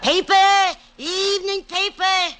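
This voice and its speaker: An actress playing a sharp-tongued old woman selling newspapers who is secretly in on a scheme, in a 1940s radio drama. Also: extremely high pitch, steady tone, slow pace, a little hiss or hum, exaggerated voice. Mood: hawking. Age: older. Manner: street-hawker calls, sharp-tongued. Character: feisty old woman newspaper seller, a crook.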